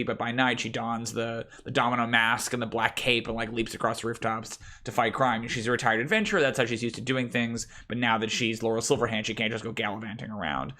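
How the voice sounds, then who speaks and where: Man, deep voice, bright forceful tone, game master, casual rambling prep talk, lots of ums. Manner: rambling, thinking aloud with many fillers. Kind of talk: casual prep talk about running a tabletop campaign.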